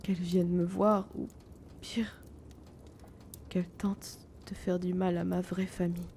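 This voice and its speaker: A gentle, wounded young man who sells potions, acted by a woman, in an intimate fantasy audio drama. The voice is soft and dark, slightly low for a woman, unhurried and hesitant, with breathy confiding turns. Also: flat delivery, slight background noise. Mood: sad, fearful.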